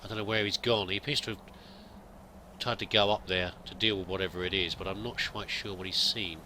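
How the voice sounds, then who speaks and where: A man with a strikingly bright, sharp voice that sits fairly low. He thinks aloud while playing a video game in character, trailing off and restarting his sentences as he goes. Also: fairly even intonation, a little fast, slight background noise. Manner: muses aloud, hesitant, many restarts. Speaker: let's play gamer roleplaying a pilgrim. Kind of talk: in-character gameplay commentary.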